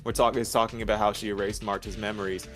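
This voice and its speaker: A man's voice: on the low side, flat delivery, quick pace, fairly bright tone, soft background music. A man chatting casually as a guest about a video game, enthusiastic and slangy. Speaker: guest co-commentator.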